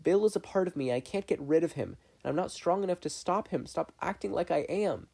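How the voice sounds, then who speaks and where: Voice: mid-range voice, expressive, fairly quick, vocal fry, warm tone, breathy edge, voice pushed up. A narrator voicing a despairing, self-loathing, defensive adolescent boy in a fan fiction reading. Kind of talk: fan fiction audiobook character voice.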